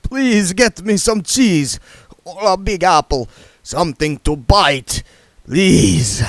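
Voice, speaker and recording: A tormented male vampire, played by a male narrator, wails and sings in a slow, trembling voice pitched above the reader's own. Every line drags with dread. The reading is close and dry. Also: animated, no echo. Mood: anguished. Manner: slow, trembling, wailing, bursts into song.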